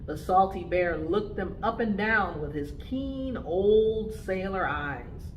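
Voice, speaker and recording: A woman reading a picture book aloud to preschoolers, with expressive, deliberate phrasing. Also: medium pitch, echoey room, slight background noise, poor audio. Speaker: storytime reader for preschoolers.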